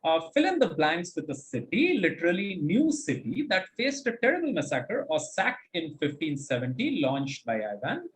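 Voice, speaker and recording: A man's voice: high-pitched, wildly swooping pitch, moderate pace, few pauses, poor audio. A man hosting a quiz, reading out questions and commenting on answers in an articulate, encouraging, explanatory way. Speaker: quizmaster and host.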